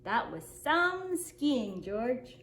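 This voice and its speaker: Woman reading a gracious skier, high voice, slow. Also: sing-song, a bit bright, full character voice. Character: gracious, good-natured man skier. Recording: roomy echo, faint background noise.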